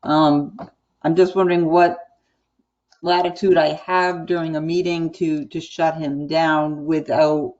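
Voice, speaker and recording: An American woman speaks in an online meeting with a measured, explanatory manner. Her pitch moves little and she pauses as she goes. The call audio is slightly muffled and lo-fi. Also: level tone, darkish tone. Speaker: school board chair.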